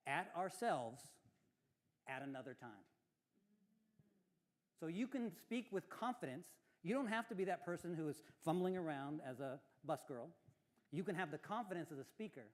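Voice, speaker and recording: A man giving feedback at a conference workshop, his voice a touch high. He explains patiently, circling back and restarting his sentences as he goes. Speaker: speech coach. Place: conference room with audience.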